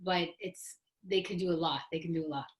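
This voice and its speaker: Female author-panelist from the Boston area, slightly low register, informal and emphatic. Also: fairly even intonation, a little fast. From Boston area, United States. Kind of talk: casual panel conversation.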